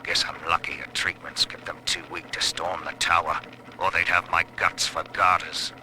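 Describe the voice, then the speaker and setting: A man playing a gruff, cynical prison boss in a video game audio log. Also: deep voice, little pitch movement, moderate pace, noisy background, close to an everyday voice. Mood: grumbling, cynical.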